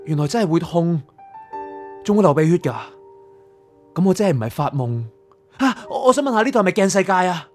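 男聲演一個迷惘又怯懦嘅港式粵語後生仔，有配樂. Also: male voice, high voice, sing-song, brisk, pauses often. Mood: pleading, confused. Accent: Hong Kong Cantonese. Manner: hesitant, stammering. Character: bewildered young man lost in a spirit world, pleading and naive. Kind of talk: radio drama acting with first-person narration. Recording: music behind.

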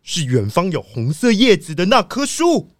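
A man's voice: high voice, huge pitch swings, moderate pace, no room sound, clean studio sound. A man playing an eager, earnest police squad captain in a children's audio detective drama.

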